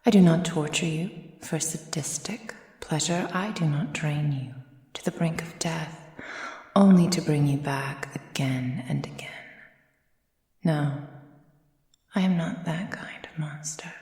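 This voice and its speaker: A woman playing a possessive, condescending vampire mistress in an intimate ASMR roleplay, speaking formally and measuredly and often slipping into breathy whispers. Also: low voice, a little slow, warm tone. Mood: cold, exasperated. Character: possessive, condescending female vampire mistress tending her captive thrall. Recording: echoey room with long reverb.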